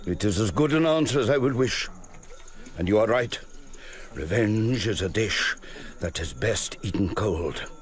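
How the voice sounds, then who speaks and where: An old desert sheikh, proud and fiery, in a theatrical radio play. His voice is fairly low and his delivery slow, emphatic and declamatory. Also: expressive. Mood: approving, vengeful. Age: older. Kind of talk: theatrical radio drama acting.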